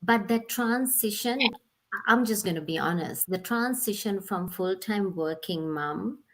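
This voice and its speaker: A woman in her forties, originally from Sri Lanka, telling her life story as an interview guest over a video call, unhurried, with many pauses and fillers. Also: mid-range voice, a bit bright. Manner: unhurried, many "um"s, laughs often.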